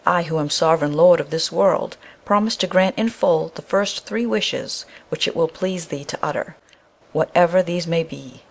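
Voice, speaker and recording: A woman narrator plays a mighty storybook god of thunder. He proclaims solemnly and formally in archaic words, with a creak in the voice. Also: lowish voice, normal speed. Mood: lordly.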